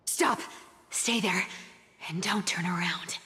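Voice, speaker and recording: An actress playing a sly, guarded trickster woman in a video game, teasing yet wounded, often dropping into hushed asides. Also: on the low side, slow pace, long reverb tail. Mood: hushed, commanding.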